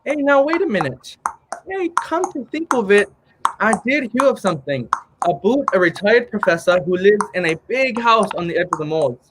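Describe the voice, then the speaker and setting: A man's voice: high-pitched, sing-song, moderate pace. A young man playing a folksy, doubtful cart driver in a 1930s-style radio play. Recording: noisy home recording with clicks.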